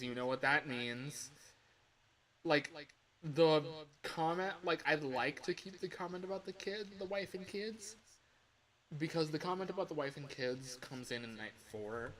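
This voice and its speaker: A man's voice: a little high, fairly bright tone, poor audio. A man livestreaming in a casual, rambling way, sounding exasperated and self-mocking, and swearing freely. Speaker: livestreamer recording voice lines.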